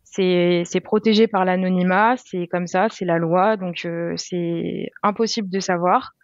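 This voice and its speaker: A woman in her twenties, a podcast guest, explaining things calmly and step by step with frequent hesitations. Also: lowish voice, measured pace, no echo, dull audio. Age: 20s. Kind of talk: casual explanatory chat.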